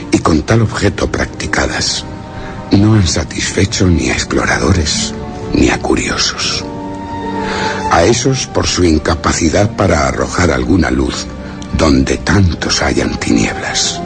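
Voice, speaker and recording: A man playing a grave expedition leader, narrating a radio drama in a solemn, formal, measured literary manner. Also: a bass voice, creaky, full character voice. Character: grave male expedition leader recounting a mysterious journey.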